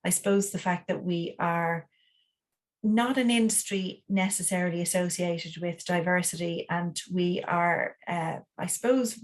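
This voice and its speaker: A woman's voice: on the low side, steady tone, measured pace. A woman speaking as an award finalist in an online panel interview, hesitant, with many restarts and fillers. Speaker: award finalist, company representative.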